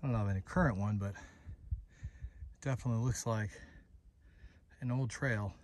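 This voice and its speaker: A man's voice: on the low side, moderate pace, darkish tone. A man in his forties narrating his desert hike outdoors on a handheld camera. He sounds a bit tired and out of breath, talking in a breezy way to himself with little exclamations. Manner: breezy, talks to himself while hiking, exclamations. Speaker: desert explorer vlogger. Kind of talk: casual hiking vlog narration. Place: rocky desert mountainside outdoors. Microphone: handheld phone or action camera.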